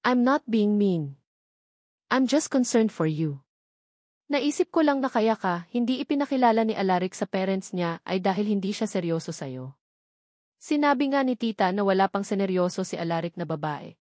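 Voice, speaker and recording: A woman with a Filipino accent voicing a young female romantic rival in a novel, outwardly concerned and quietly condescending, mixing Tagalog and English. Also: medium pitch, lively intonation, moderate pace, dry recording, studio quality, natural voice. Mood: falsely concerned. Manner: code-switches between Tagalog and English.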